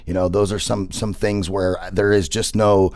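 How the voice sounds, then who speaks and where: Male radio host, big gravelly voice, casual and rambling, dry studio sound. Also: low voice, little pitch movement, normal speed, a little mellow, no echo. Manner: rambling, repeats words and fillers. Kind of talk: casual interview chat.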